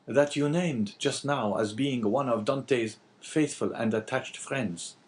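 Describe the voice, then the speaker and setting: A man's voice: fairly high pitch, lively intonation, moderate pace, voice pushed up, lightly acted. A man voicing a bitter, wavering, talkative innkeeper in an audiobook, with a plaintive and emphatic manner.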